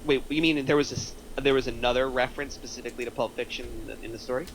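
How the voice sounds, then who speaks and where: A man's voice: medium pitch, steady tone, rapid-fire, a little hiss or hum, rough recording. A man giving casual, explanatory feedback in a group discussion, using examples as he goes. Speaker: script feedback panelist. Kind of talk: casual group critique of a screenplay.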